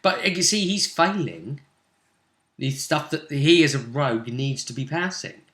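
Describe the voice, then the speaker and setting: A man talks casually as a game master. His delivery is blunt, with swearing and a very animated pitch. The voice is bright and forward, recorded in an echoey room. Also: a little slow. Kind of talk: casual chat recapping a tabletop role-playing session. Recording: roomy, echoey indoor recording.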